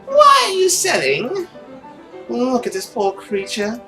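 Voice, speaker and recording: Man putting on a high-pitched haughty society lady. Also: wildly swooping pitch, bright, forceful tone, roomy sound, faint music behind, exaggerated voice. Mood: mocking. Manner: affected, drawn-out, condescending.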